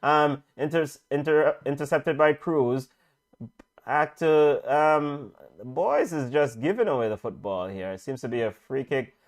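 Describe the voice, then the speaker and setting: A middle-aged man commentates on a football match as it happens. His voice sits a little high for a man, and he goes through the play-by-play haltingly, repeating phrases. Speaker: football watch-along commentator. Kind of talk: live football commentary with casual chat.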